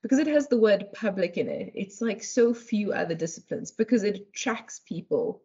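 A woman's voice: mid-range voice, animated, moderate pace, a little mellow, slightly rough. A young South African woman with a South African accent, a guest on a video call interview, speaking articulately and laughing easily. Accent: South African English. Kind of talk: conversational interview answers. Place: home room, indoors. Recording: dull lo-fi video call audio.

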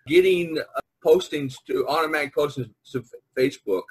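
A man hosting a podcast in a casual, rambling, easygoing group chat with a husky voice. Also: a little high, a little fast, rough recording. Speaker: podcast host. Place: online video conference.

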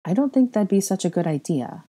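A woman playing a protective, quick-tempered young mother in an audiobook, speaking earnestly and insistently. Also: medium pitch, big pitch swings, warm tone. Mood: firm, uneasy. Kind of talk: acted audiobook dialogue.

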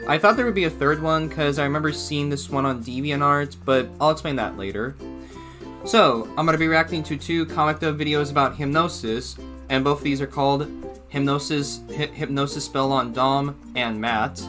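A man's voice: a little high, normal speed, music in the background. A young man hosting a casual reaction video from a small room, rambling and slipping in jokey asides. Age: young adult.

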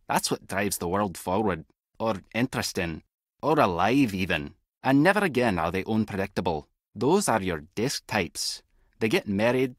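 A man voicing a watchful, philosophical spy who speaks in measured, cryptic monologue in a video game. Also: lowish voice, dry recording, clean studio sound.

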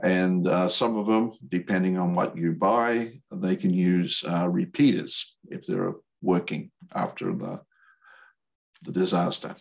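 A man's voice: low-pitched, lively intonation, unhurried, vocal fry. An older man giving an explanatory presentation over a video call in a plain-spoken, hesitant way. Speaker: presenter, licensed radio operator. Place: remote video call webinar.

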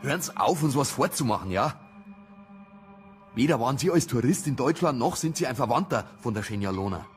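A man's voice: medium pitch, expressive, brisk, faint music behind, lightly acted. An actor playing an impatient, blunt Bavarian police detective, a grumbling man, in a naturalistic radio drama. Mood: accusing.

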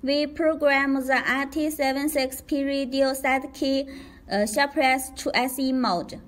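High pitched lady reading out a how-to script, slow and careful. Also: fairly even intonation. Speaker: product demonstrator. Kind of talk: scripted tutorial narration.

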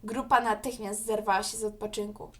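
A woman's voice: mid-range voice, little pitch movement, brisk, a bit bright. A woman reading scripted narration as the storyteller of a children's audio story.

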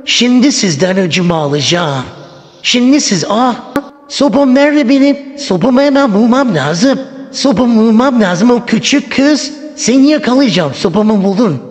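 Woman playing a high-pitched menacing old granny. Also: animated, a little mellow, lightly acted. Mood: vengeful, hunting. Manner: slow, taunting, repetitive threats. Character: menacing old granny villain hunting a hiding child. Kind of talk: improvised children's family skit. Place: indoors in a home hallway and rooms. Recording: echoey hallway, music behind.

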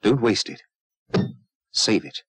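Deep British male advert voice-over. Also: lively intonation, takes it slowly, a bit bright, husky, no room sound. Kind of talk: scripted public information narration.

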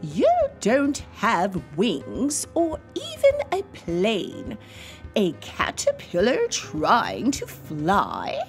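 A woman's voice: mid-range voice, huge pitch swings, very slow, soft background music, heavily acted. A woman voicing a mocking, skeptical female bee in a children's storybook, with scoffing, swooping delivery.

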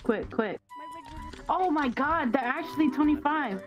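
A woman's voice: high-pitched, expressive, unhurried, muffled recording. A woman in her twenties teasing and laughing in casual online chat, with music behind. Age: 20s. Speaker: chat partner.

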